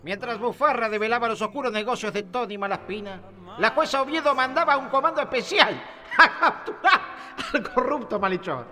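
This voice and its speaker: An actor playing a bombastic radio serial narrator, delivering dramatic scripted narration. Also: male voice, very high-pitched, fairly quick, bright, forceful tone, soft background music, exaggerated voice. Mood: mock-dramatic. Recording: echoey, long reverb.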